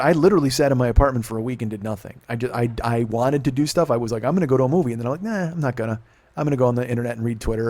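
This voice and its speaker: A man's voice: mid-range voice, dark tone. A man in his late forties hosting a casual podcast chat, grumbling and swearing as he mocks himself. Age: 40s. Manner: profane, grumbling, self-mocking. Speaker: podcast host.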